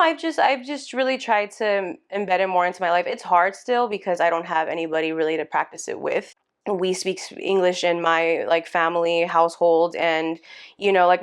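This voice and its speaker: A woman's voice: lowish voice, smooth. A woman around thirty from California's San Fernando Valley, talking casually and hesitantly as a podcast guest, with many fillers and small laughs. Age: about 30. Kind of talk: casual interview chat.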